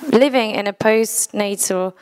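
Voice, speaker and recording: A woman with a Czech accent, speaking English as a second language, addresses a church congregation. Her English is earnest and a little broken. She chuckles at her own mistakes and speaks at a middle pitch. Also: unhurried, darkish tone, clear, resonant tone, rough recording. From Czech Republic.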